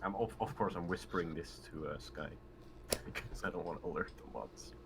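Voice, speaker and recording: A man's voice: deep voice, brisk, background noise. A man chatting casually as a player in a tabletop game.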